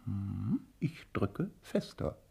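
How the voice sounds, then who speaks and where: Radio drama, 1960s: learned psychiatrist, polite and pensive, husky with very varied intonation. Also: male voice, on the low side, big pitch swings, extremely slow, mellow, heavily acted. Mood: absorbed. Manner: pensive, stammering, fussily polite. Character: earnest, hesitant, learned male psychiatry professor. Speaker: sanatorium director. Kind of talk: 1960s German radio play acting.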